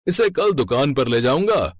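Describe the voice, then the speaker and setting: A man playing a greedy, scheming, boastful village sweet-shop owner in an animated moral story. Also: medium pitch, normal speed, dry recording, studio quality. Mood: gloating. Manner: gloating, dismissive, boastful.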